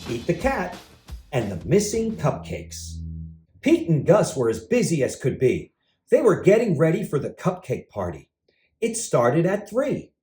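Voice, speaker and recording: A man's voice: a little high, huge pitch swings, a little slow, mellow, soft background music. A man reading a picture book aloud for children in a theatrical, exaggerated storyteller style. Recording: roomy echo.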